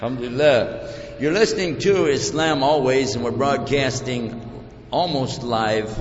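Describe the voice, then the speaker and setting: A man's voice: medium pitch, unhurried, a little hiss or hum. Folksy Texan man lecturing in a hall. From Texas. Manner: folksy, joking. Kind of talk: humorous public talk to a live audience. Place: large hall with an audience. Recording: echoey hall, dull lo-fi sound.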